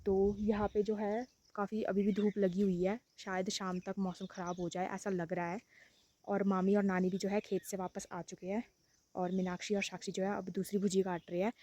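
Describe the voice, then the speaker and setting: A woman's voice: medium pitch, level tone, normal speed, dark tone, a little hiss or hum. A young woman narrating a casual village vlog, explaining things to viewers and repeating herself. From Uttarakhand, India. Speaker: village vlogger.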